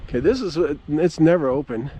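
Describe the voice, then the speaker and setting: A man's voice: fairly high pitch, animated, fairly quick, dark tone, a little hiss or hum. A man giving a casual, plain-spoken walk-around vlog outdoors, rambling along in a folksy way. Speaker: amateur radio vlogger. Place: outdoors at a campground.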